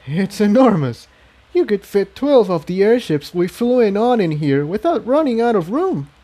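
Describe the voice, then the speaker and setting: A man's voice: high voice, very animated, moderate pace, dark tone, pitched above the performer's own voice. A man voicing a loyal, concerned female pony companion in a story reading. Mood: awed.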